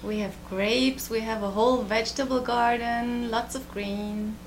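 A woman from Japan, a guest in an interview, speaking in a thoughtful, soft-spoken way with frequent pauses. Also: a little high, fairly even intonation, a little hiss or hum. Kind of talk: relaxed interview conversation.

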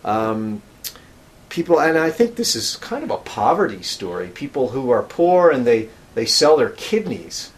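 A man hosting a podcast for English learners, speaking slowly and clearly with frequent fillers. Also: mid-range voice, big pitch swings. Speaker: podcast host and English teacher.

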